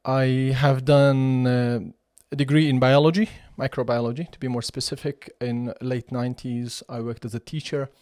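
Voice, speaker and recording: A man's voice: mid-range voice, flat delivery, moderate pace, few pauses, darkish tone, no room sound, clean studio sound. A man in his forties from Iraqi Kurdistan with a Kurdish accent, a podcast guest who speaks thoughtfully and hesitates often. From Kurdistan region of Iraq. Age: 40s. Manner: thoughtful, with many fillers and restarts. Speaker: podcast guest, chief executive of a cultural centre. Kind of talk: conversational interview.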